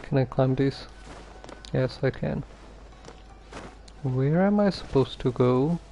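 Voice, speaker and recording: A man giving casual livestream commentary on a game, halting and musing to himself. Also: medium pitch, dark tone, faint music behind. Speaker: game streamer.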